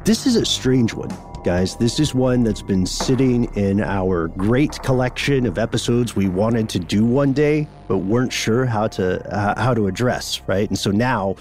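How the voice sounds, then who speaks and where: A man's voice: fairly low pitch, unhurried, hardly any pauses, a little mellow, soft background music. A man co-hosting a podcast, chatting casually and wryly, digressing and correcting himself with small laughs. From United States. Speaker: podcast co-host. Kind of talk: casual conversational podcast talk.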